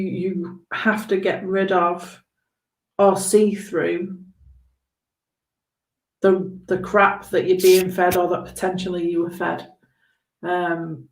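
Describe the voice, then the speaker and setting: A woman giving a spontaneous live tarot reading in a halting, reassuring, conversational way, with a slightly hoarse voice. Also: on the low side. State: hoarse after long talking. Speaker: tarot reader hosting a live stream. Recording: roomy, echoing room sound.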